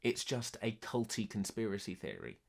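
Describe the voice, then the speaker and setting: A man talks in a documentary interview in a somewhat low voice. He sounds emphatic and frustrated, and his words come out blunt and forceful. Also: big pitch swings, normal speed, vocal fry.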